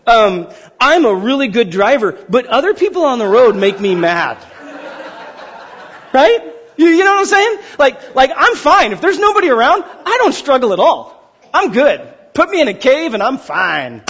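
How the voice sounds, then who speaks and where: A man's voice: extremely high pitch, moderate pace, husky, highs cut off. A man preaching as a pastor in an informal, conversational way, talking directly to his congregation. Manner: informal, animated, rhetorical check-ins to listeners. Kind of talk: conversational sermon with scripture reading.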